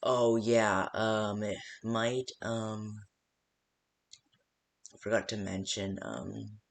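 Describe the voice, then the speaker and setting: A man playing a rambling, hesitant phone guide who briefs a night guard in a game, with a gravelly voice. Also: lowish voice, fairly bright tone, exaggerated voice. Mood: forgetful, rambling. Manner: hesitant, rambling, full of stops and second thoughts. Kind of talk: improvised-sounding instructional phone call for a game.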